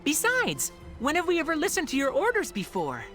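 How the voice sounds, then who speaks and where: A woman's voice: sits high, huge pitch swings, a little fast, over music. A woman voicing a spirited, loyal and cheeky young female disciple in a video game, perky and defiant. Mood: eager, defiant. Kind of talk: game cutscene acting.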